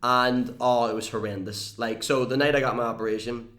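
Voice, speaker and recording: Fast-talking Northern Irish young man. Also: medium pitch, steady tone, fairly bright tone, echoey room. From Northern Ireland. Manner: chatty, storytelling, swears casually. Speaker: YouTuber guest. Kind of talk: casual interview chat.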